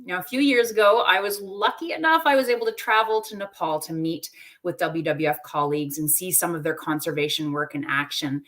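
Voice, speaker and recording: A woman's voice: fairly low pitch, a little fast, a bit bright. Upbeat woman hosting a webinar. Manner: friendly, upbeat, conversational. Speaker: host.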